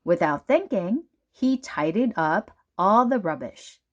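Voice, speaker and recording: A woman narrates a children's book aloud at a very slow, deliberate pace. Her intonation swings in an animated way, with dramatic emphasis on key words. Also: medium pitch, lo-fi sound. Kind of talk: storybook read-aloud narration.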